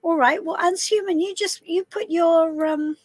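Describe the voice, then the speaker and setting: A middle-aged woman from southwest London, her voice a little husky, chatting casually to viewers on a live stream from inside a camper van, calling people darling. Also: very high voice, fairly even intonation, unhurried, no room sound. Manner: chatty, warm greetings to viewers, calls people darling and love. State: a bit husky voice. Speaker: tarot reader hosting a live stream.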